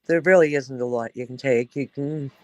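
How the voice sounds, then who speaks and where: A congested woman with a stuffed-up nose hosting a casual livestream chat, talking in a halting way with many fillers. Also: extremely low pitch, dry recording. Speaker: livestream host.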